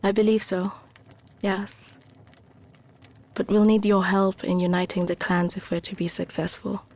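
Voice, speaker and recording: An actress playing an earnest, supportive young member of an activist collective in an animated motion comic. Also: female voice, medium pitch, creaky.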